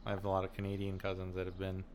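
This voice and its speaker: A man with a fairly low voice hosts a casual podcast chat. He grew up speaking Albanian first, and he keeps nodding along with short affirmations. Faint hiss runs in the background. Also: monotone, racing, a little mellow. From United States. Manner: easygoing, affirming listener with frequent backchannels. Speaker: podcast host. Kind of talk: casual conversation.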